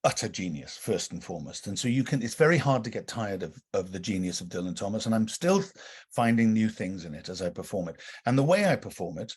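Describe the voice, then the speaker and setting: A man's voice: lowish voice, sing-song, a little fast, darkish tone, slightly rough. A middle-aged man from North London with a slight Welsh lilt, telling rambling stories as a podcast guest, with many fillers and restarts. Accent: slight Welsh lilt over London English. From North London, England. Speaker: guest actor and director. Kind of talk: relaxed anecdotal podcast interview.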